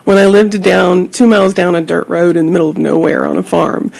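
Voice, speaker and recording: A woman's voice: fairly low pitch, flat delivery, fairly quick, creaky, a little mellow, poor audio. A woman from Georgia, a marketing guest, giving friendly step-by-step answers in an interview. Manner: friendly, explains step by step, some fillers. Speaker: guest, email marketing strategist.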